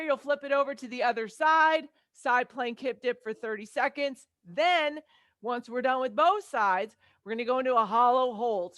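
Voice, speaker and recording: A woman's voice: a little high, a bit bright, dry recording, studio quality. A woman fitness coach with a Texas accent, cueing a workout class with upbeat encouragement and countdowns.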